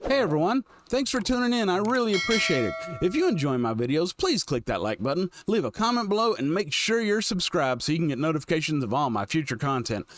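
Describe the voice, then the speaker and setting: A man's voice: a little high, very animated, quick pace, hardly any pauses, a bit bright. A man hosting a reaction video, giving casual, enthusiastic commentary in short informal exclamations.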